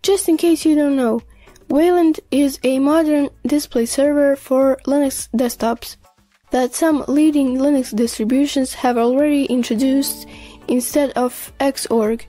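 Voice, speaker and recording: A woman with a notably high, mellow voice narrates a scripted tech news video. Her delivery is measured and explanatory, with music playing behind her.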